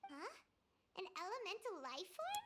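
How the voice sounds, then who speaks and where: An actress voicing a tiny, chirpy childlike game companion, squeaky, perky and inquisitive. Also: female voice, huge pitch swings, moderate pace, noisy background, heavily acted. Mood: curious. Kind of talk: scripted game dialogue.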